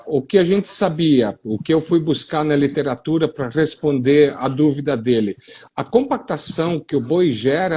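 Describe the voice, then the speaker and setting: A middle-aged man from Brazil's south talks with a gaúcho-tinged accent, his voice a touch high and husky. He explains at length, circling back with fillers and restarts, heard over a video call. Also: a little mellow. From western Paraná, Brazil. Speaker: soil science consultant on a live stream. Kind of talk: explanatory live-stream talk.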